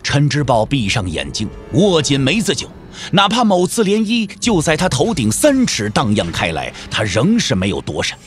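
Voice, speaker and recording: Animated male wuxia storyteller. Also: mid-range voice, moderate pace. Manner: theatrical, suspenseful delivery. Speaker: audio drama narrator. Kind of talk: dramatic scripted storytelling of a wuxia novel. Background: background music.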